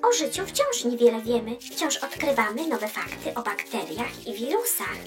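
A woman voicing a chatty, cheerful female space probe who explains science in a children's educational cartoon, teasing and lively. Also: a little high, moderate pace. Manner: bubbly, lively, playfully teasing. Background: background music.

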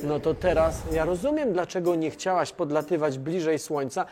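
A man's voice: on the high side, normal speed, crowd noise. A man hosting a children's science series in a playful scripted way, conversational and talking straight to his listeners. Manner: animated, conversational, addresses listeners directly.

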